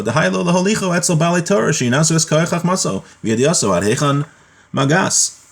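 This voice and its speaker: A man's voice: on the high side, vocal fry, a bit bright. Man teaching a religious law class. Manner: explanatory, conversational, often checking with "right?". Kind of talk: informal lecture, reading Hebrew text aloud and explaining it.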